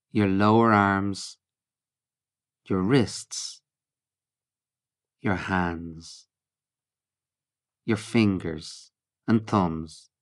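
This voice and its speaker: A man narrating a guided mindfulness meditation in a calm, measured, instructional way, with short phrases and pauses. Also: on the low side.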